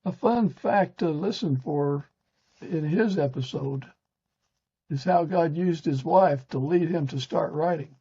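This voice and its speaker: An older man hosting a podcast, reading scripted narration from a teleprompter in a stiff, halting way. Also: a little high, warm tone, slightly rough. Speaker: podcast host. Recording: dull, lo-fi sound.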